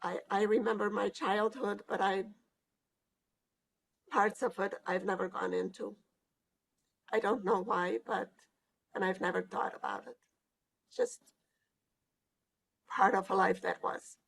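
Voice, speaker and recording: An elderly woman from Hungary, giving a recorded oral history interview as a Holocaust survivor, speaking reflectively and hesitantly with pauses. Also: mid-range voice, fairly even intonation, creaky, husky, low-quality recording. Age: older.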